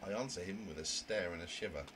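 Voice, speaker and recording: A man's voice: sits low, quick pace, natural voice. A man voicing a prim, indignant young narrator in a visual novel, with wordy, fussy, theatrical diction. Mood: reflective. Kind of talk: dramatic reading of a visual novel. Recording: noisy home microphone recording.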